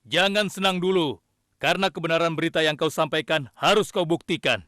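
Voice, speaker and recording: A man voicing a stern, commanding prophet king in a children's cartoon, authoritative and deliberate. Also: fairly high pitch, no room sound, clean studio sound. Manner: authoritative, deliberate, given to pondering hums. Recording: loud music behind the voice.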